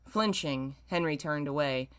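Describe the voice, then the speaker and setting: A woman reading a story aloud as host, in an expressive, theatrical way. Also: sits low, measured pace, smooth. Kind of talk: dramatic story reading with amused asides.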